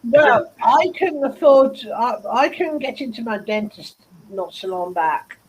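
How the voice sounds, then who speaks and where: A woman's voice: on the high side, lively intonation, a little slow, slightly rough, low-quality recording. A woman chatting casually, telling personal anecdotes and laughing along, heard over a video call mic. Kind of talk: casual chat.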